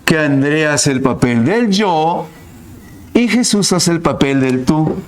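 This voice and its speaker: A male math teacher explaining a problem step by step, asking rhetorical questions and stressing key words with big swings in pitch. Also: animated, slow pace, a little hiss or hum. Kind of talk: recorded online class.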